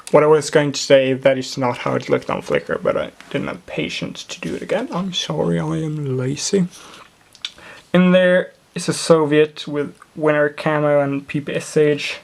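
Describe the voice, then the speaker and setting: A native Swedish speaker with a Swedish accent, casually showing off a model build and often pausing to search for English words. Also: mid-range voice, gravelly. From Sweden. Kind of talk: casual show-and-tell narration.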